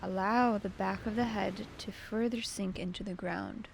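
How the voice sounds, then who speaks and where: A woman leading a guided meditation with gentle, soothing, unhurried instruction. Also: mid-range voice, steady tone, creaky, a little hiss or hum.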